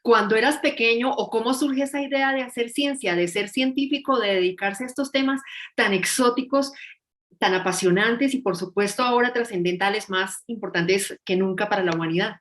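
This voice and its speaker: A woman's voice: a little high, normal speed. A woman hosting an interview who asks long, multi-part, formal questions. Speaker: interview host.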